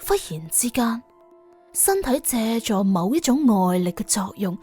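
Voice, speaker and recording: A woman's voice: a little high, animated, a little slow, mellow, breathy edge, soft background music. A woman with a Cantonese accent reading a scripted suspense audiobook as its narrator.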